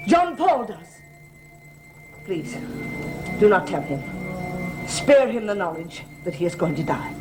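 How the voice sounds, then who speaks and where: Superstitious female servant, gothic soap, grave halting pleas and dark warnings, music clearly underneath. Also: mid-range voice, sing-song. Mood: pleading. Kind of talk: 1970s television soap opera acting.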